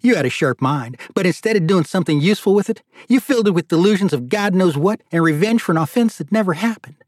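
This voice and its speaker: Man playing a gruff old Manhattan brother, pitched up. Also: expressive, fast talker, a little mellow, dry recording, clean studio sound. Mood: condescending, sarcastic. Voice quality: thick accent, gruff. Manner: sarcastic, condescending, dramatic. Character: frail, condescending old brother, loud and pushy.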